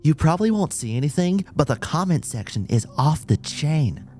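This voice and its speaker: Man voicing a brash, high-pitched young policewoman. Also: moderate pace, soft background music. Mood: teasing. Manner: slangy, brash, animated. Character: excitable, teasing young female police officer.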